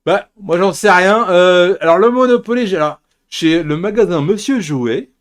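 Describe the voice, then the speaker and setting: A man's voice: sits high, normal speed. A man hosting a casual, jokey chat show about geek culture in an informal way. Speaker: podcast host.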